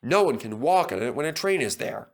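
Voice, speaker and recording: A man's voice: medium pitch, very animated, brisk. A man reading a scripted children's story as narrator.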